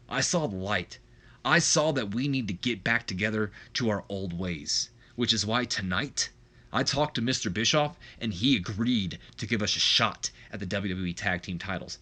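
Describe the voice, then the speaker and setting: A man's voice: medium pitch, pushed and bright. A man playing a determined wrestler giving an insistent, emphatic promo to his tag partner.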